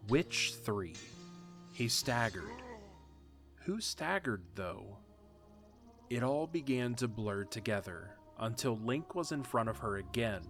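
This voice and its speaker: A man narrating a fan audio drama in a steady, measured storytelling manner. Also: mid-range voice. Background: music.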